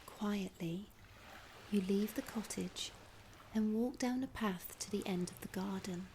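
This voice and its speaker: A woman narrating a scripted guided imagery meditation in a soft, soothing, breathy voice, gently instructing with long pauses. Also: mid-range voice. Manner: unhurried, with long pauses between phrases. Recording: clear background ambience noise.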